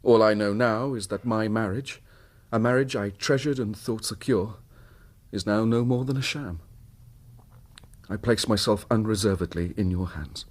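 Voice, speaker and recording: Actor as a troubled, husky Victorian British husband. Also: male voice, on the low side, normal speed, lightly acted. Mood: hurt, grave. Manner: earnest, formal, emotionally wounded. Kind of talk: radio drama acting, narrating his own story.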